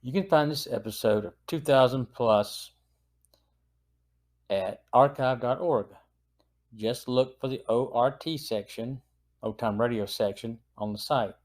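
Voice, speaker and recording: A man's voice: medium pitch, measured pace, darkish tone. A man hosting a podcast, reading a semi-scripted review in a plain, earnest, informative manner. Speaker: podcast host.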